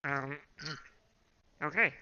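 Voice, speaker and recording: A hoarse man running a tabletop game as dungeon master, unhurried and full of fillers. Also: on the high side. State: hoarse from a throat infection. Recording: muffled home recording with background noise.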